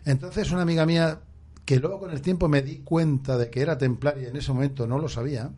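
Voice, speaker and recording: A man from Catalonia, a poet guest on a radio magazine, answering in an earnest, measured way with soft hesitations. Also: a little high, fairly even intonation, slightly rough. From Catalonia, Spain. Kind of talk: poetry recitation and interview answers.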